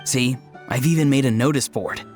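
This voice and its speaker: An actor playing an earnest, odd young tribesman in a video game, deadpan and matter-of-fact. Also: medium pitch, normal speed, soft background music, natural voice.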